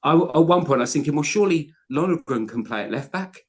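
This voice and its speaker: A man's voice: a little high, lively intonation, fast talker. A man co-hosting a casual football podcast, talking passionately in long rambling runs full of restarts. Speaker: podcast co-host.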